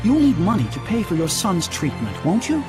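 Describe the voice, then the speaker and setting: An actor playing a humble, devout priest who speaks formally and deferentially, hesitant when flustered, in a fantasy game scene. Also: male voice, high-pitched, huge pitch swings, normal speed. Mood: troubled, reluctant. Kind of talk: dubbed fantasy game cutscene acting. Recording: orchestral music behind the voice.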